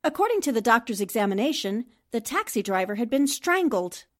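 A woman plays a clever female newspaper reporter from an old-time radio mystery. Her voice sits fairly high. She speaks with poise and deliberate confidence. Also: sing-song. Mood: triumphant. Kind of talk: 1940s-style radio drama acting.

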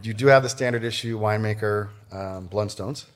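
A man's voice: on the low side, brisk. A man hosting a casual interview podcast, informal and snarky in the way he talks.

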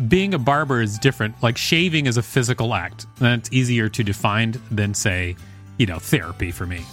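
A man with a mid-pitched voice speaks as a podcast co-host. His delivery is conversational and wry, moving between read-aloud text and casual banter. Music plays behind him. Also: a little fast, a bit bright. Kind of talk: reading an encyclopedia article aloud with casual banter.

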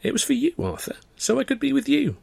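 A cheerful young woman from a storybook, played by a man, speaking far higher than a man's usual register. The delivery is eager and tender, in a close, dry recording. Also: wildly swooping pitch, fast, creaky, breathy edge, dry recording, exaggerated voice. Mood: small, hurt. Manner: bright, beaming delivery. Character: cheerful young woman, bright, then small-voiced and hurt.

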